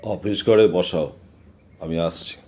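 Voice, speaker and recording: A very low, mellow voice carries this middle-aged village patriarch played by a male actor. He is calm and measured, with a fatherly authority that suits radio drama. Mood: calm, commanding. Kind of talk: audio drama dialogue.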